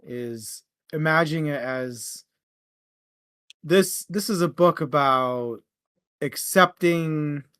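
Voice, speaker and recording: Bright-voiced man in a casual book chat. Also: a little high, takes it slowly, long pauses, smooth, no room sound. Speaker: book podcast co-host.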